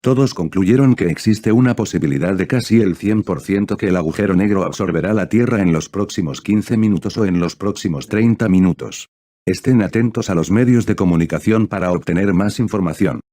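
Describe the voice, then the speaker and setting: A man's voice: low voice, a little fast, mellow, dry recording, clean studio sound. A man reading a scripted emergency broadcast announcement in a formal, impersonal way. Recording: clear background noise.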